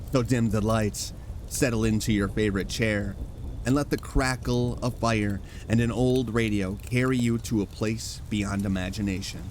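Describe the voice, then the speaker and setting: A man hosting, telling a measured, scripted spooky introduction. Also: mid-range voice, a little mellow, slight background noise. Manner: slow, measured storytelling. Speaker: host.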